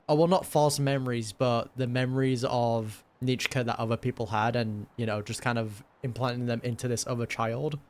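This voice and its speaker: A man giving casual live reaction commentary on a game, muttering asides and thinking aloud. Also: medium pitch. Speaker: gaming reaction streamer.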